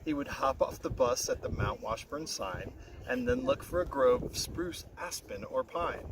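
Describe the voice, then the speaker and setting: A man reading a rhyming children's story aloud in an expressive, singsong way. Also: medium pitch, moderate pace. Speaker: storybook reader. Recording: very noisy, windy, distant-mic recording.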